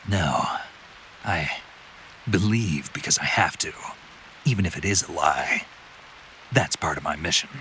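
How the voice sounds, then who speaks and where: An actor playing a stoic, questioning soldier in a video game, gruff and terse. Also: male voice, a bass voice, expressive, a little slow, long pauses, sharp tone, a little hiss or hum, full character voice, poor audio. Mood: grave, resolute. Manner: terse, probing.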